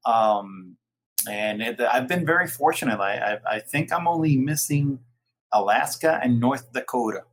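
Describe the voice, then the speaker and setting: A man in his forties from Puerto Rico, a lawyer and interview guest, recounting his career in a relaxed storytelling way. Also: medium pitch, measured pace. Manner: relaxed storytelling, occasional laughs. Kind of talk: casual interview.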